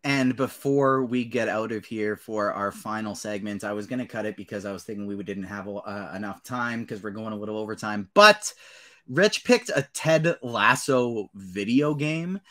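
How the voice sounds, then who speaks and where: A man's voice: lowish voice, measured pace, fairly bright tone. Enthusiastic male podcast host. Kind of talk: upbeat podcast hosting with plugs.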